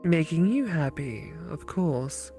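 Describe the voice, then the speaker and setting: A woman's voice: low-pitched, very animated, slow pace, warm tone, faint music behind, heavily acted. Woman voicing a curious, doting AI companion. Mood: wistful. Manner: quizzical, wondering.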